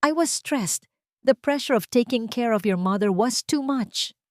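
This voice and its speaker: Woman doing a scheming young bride, high pitched and shrill, storybook melodrama, really dry sound. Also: lively intonation, measured pace, darkish tone, dry recording. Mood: pleading. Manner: pleading, protesting. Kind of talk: dramatic audio storybook.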